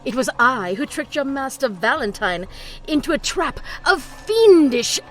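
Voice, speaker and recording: A vain abyssal demon acted by a woman in an audio drama, theatrical and pompous. The voice is fairly high and swoops dramatically as it gloats, unhurried throughout. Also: very animated, faint music behind. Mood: gloating, grandiose.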